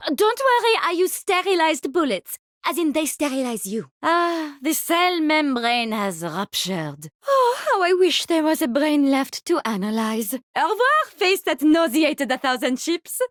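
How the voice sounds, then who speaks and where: High-voiced, gleefully morbid French scientist. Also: female voice, big pitch swings, measured pace, no echo, clean studio sound. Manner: theatrical, savoring, condescending. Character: eccentric French mycologist woman, gleefully morbid, haughty scientist. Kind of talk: theatrical video game voice lines.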